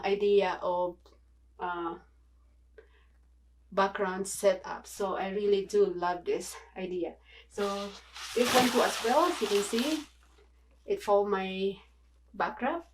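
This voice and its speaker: A woman from the Philippines with a Filipino accent, chatting casually in a home video tutorial, halting and apologetic with many fillers. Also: mid-range voice, steady tone, rough recording. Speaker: vlogger. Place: small home room.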